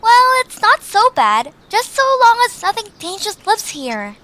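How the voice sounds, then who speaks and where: A woman's voice: very high-pitched, big pitch swings, normal speed, bright, forceful tone, full character voice. A woman voicing an anxious, kindhearted young girl adventurer in an audio drama, earnest and hesitant in her delivery. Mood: worried, timid. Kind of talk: animated audio drama acting.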